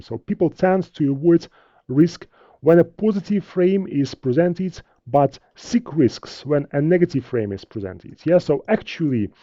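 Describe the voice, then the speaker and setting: A man with a Russian accent, a native Russian speaker, teaching trading in a live webinar, halting, with many fillers and restarts. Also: a little high, warm tone, no echo, muffled recording. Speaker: trading instructor. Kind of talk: live webinar talk with viewer questions.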